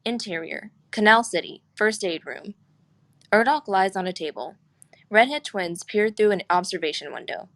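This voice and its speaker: A woman's voice: medium pitch, moderate pace, a bit bright. A woman reading scripted stage directions and scene headings as narrator at a screenplay table read.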